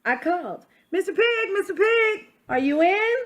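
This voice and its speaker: A woman's voice: extremely high pitch, expressive, fairly bright tone, voice pushed up. A woman voicing an aggrieved male wolf who tells his side of a children's story in a folksy, self-justifying, emphatic way. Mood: storytelling, sly, then calling out. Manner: dramatic, emphatic, with drawn-out stressed words. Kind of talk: storybook read-aloud for children.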